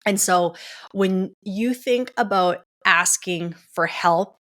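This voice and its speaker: A woman's voice: mid-range voice, slow pace, fairly bright tone. A young adult woman coaching listeners through a skill step by step, often checking in with "okay?". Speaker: coach and podcast host. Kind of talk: casual coaching talk.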